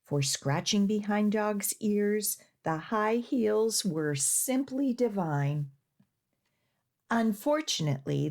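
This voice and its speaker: A woman children's librarian reading stories aloud and giving simple instructions in an expressive, deliberate way. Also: medium pitch, vocal fry. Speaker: children's librarian hosting storytime. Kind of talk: read-aloud storytelling for young children.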